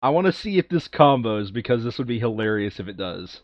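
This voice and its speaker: A man's voice: mid-range voice, very animated, a little fast, dry recording, lo-fi sound. A man chatting casually as a player planning a board game session, thinking aloud and repeating his phrases.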